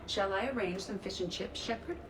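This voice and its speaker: An actress playing a polite, helpful artificial intelligence in an android body for a video game, speaking formally and precisely. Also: female voice, medium pitch, brisk, a bit bright, roomy sound, natural voice, rough recording. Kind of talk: video game character acting.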